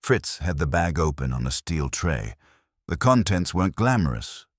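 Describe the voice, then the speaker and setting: A man tells a first-person hardboiled mystery with a wry, wisecracking edge. His voice is extremely deep yet moves lively through its pitch. The recording is close and dry. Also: normal speed, husky, no echo. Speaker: narrator.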